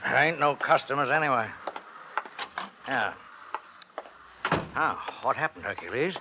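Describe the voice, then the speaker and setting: A man's voice: medium pitch, animated, measured pace, lightly acted. An actor playing a folksy older carnival man, slyly reassuring in colloquial 1950s radio drama style. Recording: dull old radio recording, faint noise.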